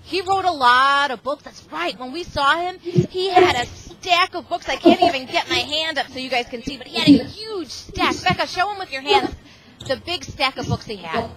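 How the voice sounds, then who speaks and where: A woman's voice: high voice, lively intonation, normal speed, fairly bright tone, slight background noise, poor audio. A middle-aged woman reading aloud to a class of children over a video call, exclamatory and often asking them questions. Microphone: video call microphone.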